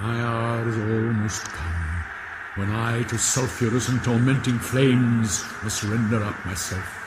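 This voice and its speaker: A man's voice: medium pitch, unhurried, heavy background noise, natural voice. A man playing the tormented ghost of a murdered royal father in a radio drama, grave and portentous. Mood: solemn, tormented. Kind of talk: classical radio drama acting.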